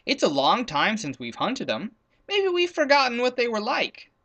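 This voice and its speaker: A man's voice: very high voice, wildly swooping pitch, normal speed, pushed and bright, pitched above the performer's own voice, full character voice. A man voicing a grown-up male hunter in a storybook, pompous and fond of long words. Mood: puzzled. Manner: pompous, wordy.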